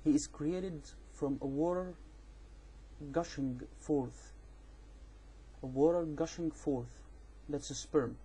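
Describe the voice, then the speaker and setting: A man with an Egyptian accent, a native Arabic speaker, giving an informal explanatory rebuttal in a halting, repetitive, insistent way. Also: a little high, warm tone, slightly rough. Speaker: amateur video responder on religion.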